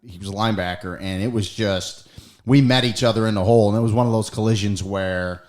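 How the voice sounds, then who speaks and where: A man's voice: lowish voice, steady tone, fast talker, darkish tone. Chatty middle-aged man hosting a podcast. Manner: conversational, rambling with fillers and self-corrections. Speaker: podcast host. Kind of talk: casual podcast chat and storytelling.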